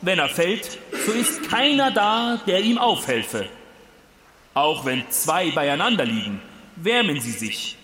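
An actor playing a solemn, unctuous village priest who declaims a ceremonious wedding sermon in an audio drama. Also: male voice, fairly high pitch, big pitch swings, takes it slowly, noisy background. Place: church, as staged. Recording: long church reverb.

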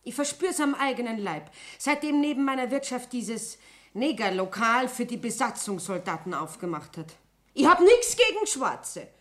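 A woman's voice: a little high, very animated, moderate pace, a bit bright. An actress playing a practical, scheming Bavarian tavern landlady, matter-of-fact and confiding, in a radio play. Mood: aggrieved. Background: pub crowd.

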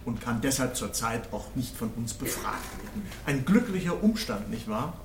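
An actor playing a formal, sardonic, insinuating prosecutor in a radio drama. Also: male voice, mid-range voice, very animated, normal speed. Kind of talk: naturalistic radio drama acting. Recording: echoey room, faint noise.

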